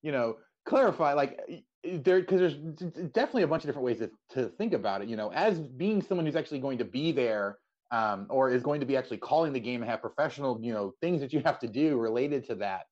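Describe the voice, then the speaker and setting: A man's voice: medium pitch, lively intonation, fast, a little mellow, muffled recording. A man hosting a casual sports interview podcast, stammering his way into long, wordy questions.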